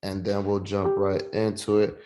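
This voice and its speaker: A man's voice: on the low side, little pitch movement, normal speed, over loud music. Slangy New man leading a training. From Puerto Rico, raised in New York City. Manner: casual and slangy, calls listeners "man" and "bro". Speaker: sales trainer. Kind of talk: online sales training talk.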